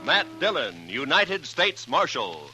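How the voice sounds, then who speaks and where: A man's voice: on the high side, sing-song, slow, low-quality recording. A man announcing a western radio show in a dramatic, emphatic, declamatory 1950s broadcast style. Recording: vintage radio broadcast with loud music.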